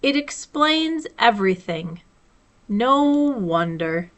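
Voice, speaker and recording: A woman voicing a gloomy, resigned old donkey from a storybook, with a slow, mournful delivery. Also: a little high, very animated, a bit bright, exaggerated voice.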